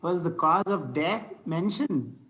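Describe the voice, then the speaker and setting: A man reading the part of a pious, pedantic, gentle clergyman in an amateur table read, formal and a little halting. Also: on the high side, lively intonation. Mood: solemn sympathy.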